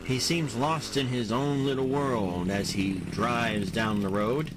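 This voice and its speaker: Slow-paced male audio drama narrator. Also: mid-range voice, background noise. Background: music.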